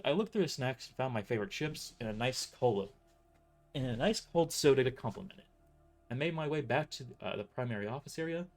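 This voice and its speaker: A man reading his own first-person story aloud as narrator, often stumbling and restarting his sentences. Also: mid-range voice. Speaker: author narrating his novel.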